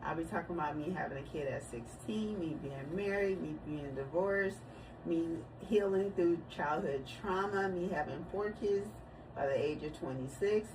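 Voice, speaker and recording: A woman's voice: on the low side, normal speed. A woman in her forties talking casually about her family on her video channel, telling stories and laughing easily. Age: 40s. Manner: chatty, storytelling, laughs easily. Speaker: vlogger. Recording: distant mic, noisy room.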